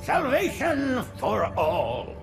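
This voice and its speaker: An actor playing a frail, zealous, ancient alien prophet in a video game, preaching in florid, reverent phrases. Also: male voice, very high voice, huge pitch swings, extremely slow, creaky, exaggerated voice. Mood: fervent, reverent. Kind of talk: cinematic video game acting. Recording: music underneath.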